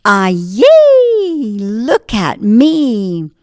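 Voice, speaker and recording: Woman voicing a squeaky gleeful pastry boy. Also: sing-song, extremely slow, no echo, clean studio sound, heavily acted. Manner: sing-song, taunting, gleeful. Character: cheeky runaway living pastry boy, tiny.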